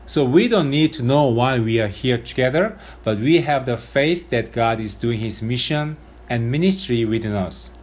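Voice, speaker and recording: A male pastor preaches with a strong Korean accent, speaking in an earnest, measured way. He lifts his voice for emphasis now and then. His pitch sits in an ordinary middle range for a man. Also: lively intonation. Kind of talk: sermon.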